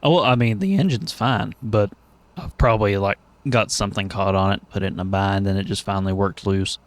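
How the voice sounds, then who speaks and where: A man with a somewhat low voice hosts a laid-back podcast chat. His delivery is informal and playful, with plenty of swearing and banter. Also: a little fast. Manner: jokey, profane banter. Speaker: podcast host. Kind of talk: casual chat.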